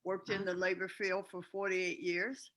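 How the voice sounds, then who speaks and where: A woman's voice: medium pitch, level tone, normal speed, a bit bright, rough recording. A woman in her seventies giving a plain, matter-of-fact introduction of herself as a panelist on a group call. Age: 70s. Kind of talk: casual self-introduction.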